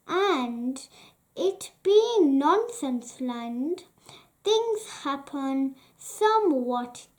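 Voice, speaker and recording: A woman reading a children's story aloud in a deliberate, carefully phrased way with frequent pauses. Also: high-pitched, a little mellow. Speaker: children's storybook reader, channel host.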